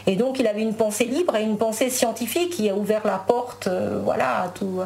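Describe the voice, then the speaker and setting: A woman's voice: on the high side, steady tone, a little fast, gravelly, a little mellow, a little hiss or hum. Hesitant woman, native Spanish speaker. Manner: hesitant, many fillers and restarts. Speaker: guest. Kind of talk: casual interview chat.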